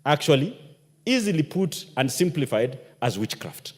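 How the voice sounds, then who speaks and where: A man's voice: on the high side, big pitch swings, moderate pace, husky. Impassioned man in a reverberant chamber. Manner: impassioned, rhetorical questions. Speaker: member of parliament. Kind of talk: parliamentary speech. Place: parliament chamber. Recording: long hall reverb.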